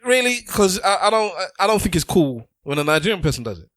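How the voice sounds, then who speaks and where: A man's voice: high voice, sing-song, quick pace, fairly bright tone, no echo. A man giving his opinion emphatically in casual podcast talk, repeating phrases to press his point. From Britain.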